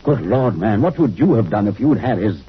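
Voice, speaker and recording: Grave spaceship captain, muffled vintage radio. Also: male voice, lowish voice, sing-song, fairly quick. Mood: impassioned. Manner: earnest, dramatic delivery. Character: compassionate, grave spaceship captain, earnest and troubled. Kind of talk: 1950s radio drama acting. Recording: muffled, noisy old radio recording.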